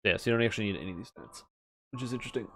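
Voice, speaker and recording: A man's voice: fairly low pitch, animated, very fast, creaky, fairly bright tone, a little hiss or hum. A man giving casual live game stream commentary, thinking aloud as he mutters through details and swears now and then. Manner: muttering through item stats, profane.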